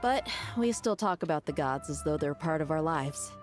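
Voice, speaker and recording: Casting: easygoing desert woman in a game, lowish voice, dry and friendly delivery. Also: expressive, normal speed, music in the background. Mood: wry, friendly. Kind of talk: video game voice acting.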